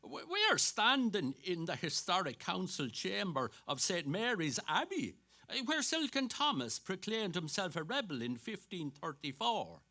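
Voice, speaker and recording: High, hearty, stammering fellow. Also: male voice, sing-song, normal speed, pushed and bright, exaggerated voice. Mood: hearty, proud. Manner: hesitant stammering repeats, exclamatory. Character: hearty, eager, stammering Dublin man showing off old sights. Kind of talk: dramatic literary reading on stage.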